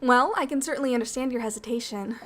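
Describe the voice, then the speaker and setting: Woman playing a bubbly, higher-pitched friendly alien ambassador. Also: quick pace, close to an everyday voice. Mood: chatty, friendly. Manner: bubbly, chatty, apologetic, excitable. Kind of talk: ASMR roleplay, chatty and intimate.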